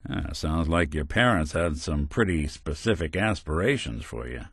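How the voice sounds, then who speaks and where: A man's voice: a bass voice, lively intonation, normal speed, breathy edge, no room sound, heavily acted. A man playing a world-weary, middle-aged android detective in a video game, with an American accent and a wry, hard-boiled way of talking. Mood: wry, musing. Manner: wry, dry, old-fashioned hard-boiled slang. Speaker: companion character in a video game.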